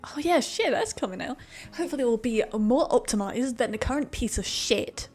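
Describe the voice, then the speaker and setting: A woman streaming a game, chatting casually with a friend in an excitable, jokey, sweary way. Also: fairly high pitch, a little fast. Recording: music behind the voice. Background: music.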